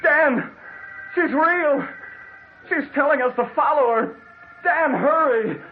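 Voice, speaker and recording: A man's voice: very high-pitched, slow pace, soft background music. An actor playing a man lost in the desert and dying of thirst, who talks feverishly and pleads with his partner, on an old radio drama. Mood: frantic. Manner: feverish, pleading, rising to frantic shouts. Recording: tinny old radio broadcast with hiss.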